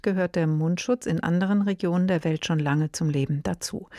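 A woman reading a scripted radio moderation in a calm, measured way. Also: lowish voice, no echo, studio quality. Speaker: radio presenter.

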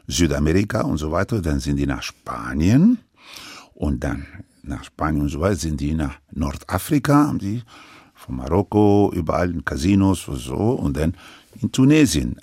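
Older man with a deep gravelly voice. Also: darkish tone, dry recording, clean studio sound. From Lebanon. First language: French. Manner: halting, with many restarts and broken grammar. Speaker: interviewee. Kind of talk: recounting his life story.

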